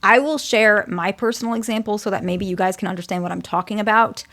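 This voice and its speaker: A young woman with a naturally quiet, soft voice talks straight to her viewers as the host of her own channel. She speaks candidly, hedging her points and restarting phrases as she works through her advice. Her pitch sits in the ordinary middle range. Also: steady tone, fast. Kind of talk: casual advice talk to camera.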